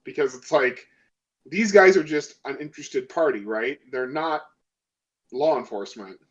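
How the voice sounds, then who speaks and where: A man's voice: mid-range voice, moderate pace, lo-fi sound. A man chatting casually as a player in a tabletop role-playing game, slangy and paraphrasing as he goes.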